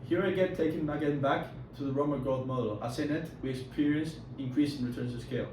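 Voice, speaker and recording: A young man playing an eager economist who chimes in quickly, recorded from far off in an echoey, noisy room. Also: medium pitch, close to an everyday voice.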